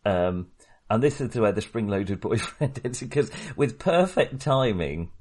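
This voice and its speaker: Male podcast co-host, lowish voice, informal film talk with a halting, hesitant delivery. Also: expressive, a little mellow. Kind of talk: casual film discussion.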